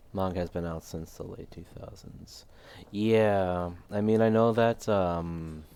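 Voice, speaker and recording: A man's voice: deep voice, dark tone. A man streaming a game, chatting casually and thinking aloud while losing his voice. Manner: rambling, thinking aloud, many fillers. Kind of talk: casual live game commentary.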